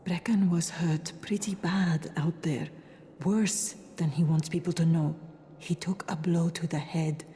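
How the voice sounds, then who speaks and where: A woman playing a worried, discreet medic in a game cutscene, speaking in a hushed, measured, confiding way. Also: lowish voice, steady tone. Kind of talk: dramatic game cutscene acting. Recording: faint background noise.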